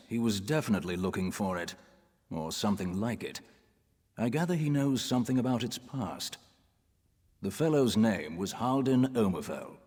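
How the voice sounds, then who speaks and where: An actor playing a haughty, disdainful temple priest in a video game, speaking formally with a solemn, condescending manner. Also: male voice, on the low side, a little mellow, husky. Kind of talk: video game character acting. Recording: reverberant hall, faint music behind.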